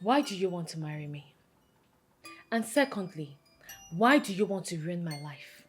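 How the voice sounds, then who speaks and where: A woman's voice: fairly low pitch, lively intonation, moderate pace, faint music behind, natural voice. A woman playing a defiant, plain-spoken young village woman with a Nigerian accent in a film drama, speaking emphatically and indignantly. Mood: indignant, firm. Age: young adult. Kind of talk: naturalistic film drama dialogue.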